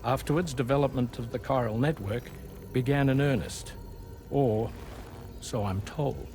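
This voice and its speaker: An actor playing a reserved, scholarly man who recounts old research in a formal, measured way for a video game. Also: medium pitch, a little mellow, a little hiss or hum, close to an everyday voice. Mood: reserved, reflective. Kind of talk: expository game cutscene dialogue.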